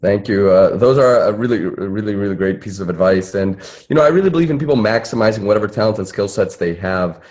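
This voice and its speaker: A man's voice: fairly low pitch, quick pace, hardly any pauses, a little mellow. A man giving earnest remarks as an activist on a discussion panel. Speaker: panelist, civil rights activist.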